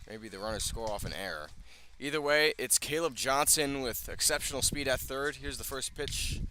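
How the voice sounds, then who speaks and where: A man's voice: medium pitch, moderate pace, sharp tone, soft background music. A man calling a baseball game live as a radio play-by-play announcer, speaking from field level at an outdoor ballpark.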